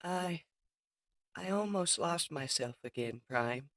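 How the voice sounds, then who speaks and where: A woman's voice: low-pitched, expressive, takes it slowly, fairly bright tone, no room sound, clean studio sound, heavily acted. A woman playing a loyal, remorseful robot warrior in a comic dub, speaking in an earnest, subdued way. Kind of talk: comic dub character acting.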